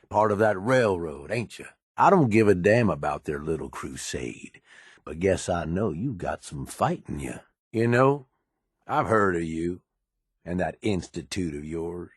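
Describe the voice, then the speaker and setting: Deep, gruff American raider. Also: male voice, no echo, clean studio sound, full character voice. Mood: sardonic, sizing up. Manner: gruff, folksy, plain-spoken tough talk. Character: tough, cynical middle-aged male raider, shrewd and pragmatic. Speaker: companion character in a video game. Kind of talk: acted video game dialogue.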